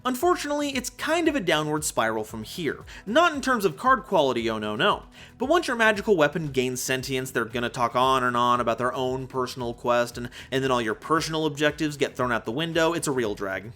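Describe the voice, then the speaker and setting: A man's voice: medium pitch, a little fast, few pauses. A man giving a playful, emphatic scripted explainer as a video host. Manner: animated, playful, emphatic delivery. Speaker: host of a card game analysis video. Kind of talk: scripted explainer narration with jokes. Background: background music.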